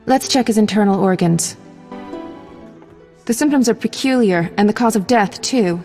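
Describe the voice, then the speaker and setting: Actress as a brisk medic in a reverberant hall. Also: female voice, mid-range voice, dark tone, soft background music, close to an everyday voice. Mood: analytical. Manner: clinical, matter-of-fact. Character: brisk, confident young female medic, proud professional. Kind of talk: video game voice acting.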